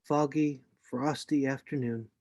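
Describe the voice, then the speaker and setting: Man acting as a storyteller for a radio adventure, suspenseful, dry sound, mid pitch. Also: fairly even intonation, a little slow, dark tone, no room sound, lightly acted. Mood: grave, suspenseful. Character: grown man recounting his boyhood sea adventure. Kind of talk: scripted radio play narration.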